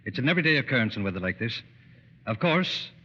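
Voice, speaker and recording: An actor playing a brisk, plain-spoken airport radio operator in an old radio drama. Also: male voice, mid-range voice, sing-song, pushed and bright, husky, exaggerated voice. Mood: reassuring, frank. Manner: brisk, matter-of-fact. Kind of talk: 1930s-40s radio drama acting. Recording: muffled, reverberant old radio recording.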